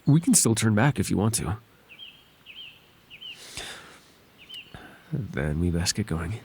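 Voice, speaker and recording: A man voicing a gentle, devoted and bashful winged fairy in an ASMR roleplay, speaking tenderly and hesitantly in formal, old-fashioned phrases. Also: lowish voice, very animated, moderate pace, background noise. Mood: protective, tender. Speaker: voice actor.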